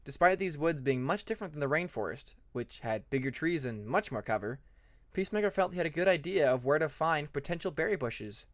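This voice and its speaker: A man's voice: fairly high pitch, expressive, fairly quick, a bit bright, no echo, clean studio sound. A man narrating scripted fiction as an audiobook reader, with a dramatic storytelling manner.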